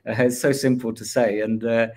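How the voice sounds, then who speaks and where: A man's voice: mid-range voice, steady tone, normal speed, darkish tone, a little hiss or hum. A man who is an artist, talking reflectively about his work with many hesitant fillers. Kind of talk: livestream discussion.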